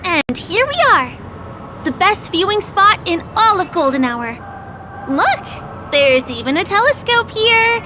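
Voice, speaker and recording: A woman's voice: extremely high pitch, big pitch swings, slow pace, background noise, exaggerated voice. A woman voicing a cheerful, eager young tour guide in a video game, chatty and friendly as she shows someone around. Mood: inviting, friendly. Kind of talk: video game character voice acting. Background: game music.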